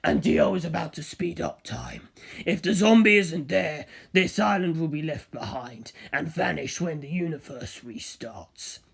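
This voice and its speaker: A narrator plays a cool-headed strategist in an adventure storybook. The delivery is grave and deliberate, close to an everyday speaking pitch. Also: lively intonation, creaky, husky, exaggerated voice. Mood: grave, urgent.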